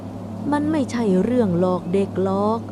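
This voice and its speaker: A woman voicing a grave old village man, slow and solemn, in a told story. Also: a little high, lively intonation, noisy background, lightly acted. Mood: grave warning.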